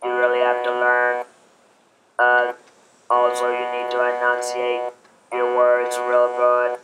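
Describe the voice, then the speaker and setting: A man speaking through an electrolarynx in a buzzing, robotic monotone, giving informal advice in halting, deliberate phrases. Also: mid-range voice. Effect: electrolarynx vibration in place of natural voice. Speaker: electrolarynx user advising new users. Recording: loud steady background noise.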